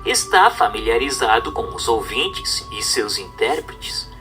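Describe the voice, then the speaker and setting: A man with a Brazilian accent reads a religious text aloud as a narrator, in a formal, measured, didactic manner over music. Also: fairly low pitch, sing-song. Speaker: narrator reading a book aloud. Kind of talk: scripted audiobook-style narration of a religious text.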